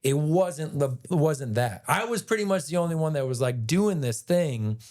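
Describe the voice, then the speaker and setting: A man with a raspy voice, a guest actor telling a rambling, animated story in a casual interview. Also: fairly high pitch, normal speed. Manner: animated, rambling retelling with many "like"s.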